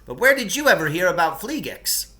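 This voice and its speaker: Storybook cave-dweller host, read by a man: earnest, eager to explain, higher pitched, bright and emphatic. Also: very animated, brisk, heavily acted. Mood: eager, curious.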